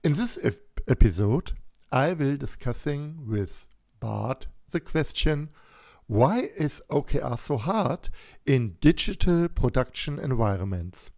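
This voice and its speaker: A man hosting a podcast, reading an introduction in slow, careful English and asking questions. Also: mid-range voice, very animated, mellow, no echo. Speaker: podcast host. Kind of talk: scripted intro and interview questions. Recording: dry close mic with faint music.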